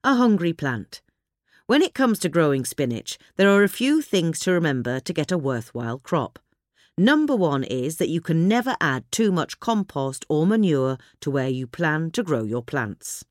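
A woman reading a gardening magazine article aloud in a measured, clear way. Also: sits low, big pitch swings, dry recording, studio quality. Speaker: reader. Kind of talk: scripted narration of a gardening article.

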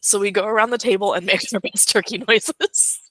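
A woman's voice: medium pitch, fairly even intonation, brisk, sharp tone, no room sound, rough recording. A young woman co-hosting a podcast in casual chat, chatty and giggling at her own stories. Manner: chatty, giggly, self-amused. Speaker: podcast co-host.

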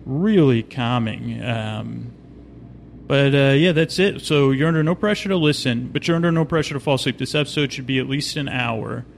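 A man's voice: brisk, gravelly. A man hosting a sleep podcast in a meandering, hesitant, slightly goofy soothing monologue, recorded on location inside an observation wheel cabin. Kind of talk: rambling, soothing bedtime monologue. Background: low ambient hum, faint.

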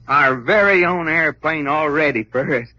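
An actor playing a folksy, good-natured adventurer with a Southern US accent, full of enthusiastic slang, in an old-time radio drama. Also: male voice, on the high side, normal speed, pushed and bright, dry recording. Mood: excited. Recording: muffled vintage radio recording.

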